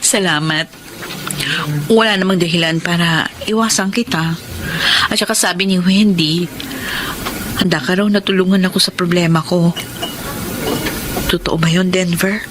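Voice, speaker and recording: A woman's voice: lowish voice, fairly even intonation, normal speed, pauses often, noisy background, natural voice. A woman playing a devoted, desperate young wife and mother in a melodramatic Filipino audio drama, earnest and emotional. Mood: wary. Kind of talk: melodramatic Filipino radio-style drama acting.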